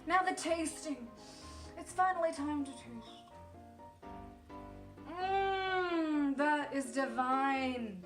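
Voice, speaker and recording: A woman voicing a pretentious, affected whiskey connoisseur who sighs dramatically and speaks slowly. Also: high-pitched, roomy sound, faint music behind, voice pushed up. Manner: slow, breathy, over-sighing delivery.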